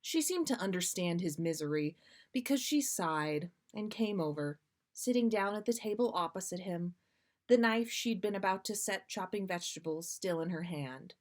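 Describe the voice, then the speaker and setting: A woman hosting a livestream, reading fan fiction aloud with expressive delivery. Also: on the low side, normal speed. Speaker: podcast host reading listener fan fiction. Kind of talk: storytelling read aloud on a livestream.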